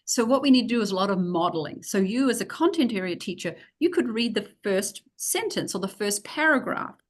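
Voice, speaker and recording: A woman's voice: medium pitch, animated, a little fast. A middle-aged woman with a New Zealand accent, explaining reading instruction for English learners in a recorded video-call lecture, practical and full of fillers. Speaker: teacher trainer.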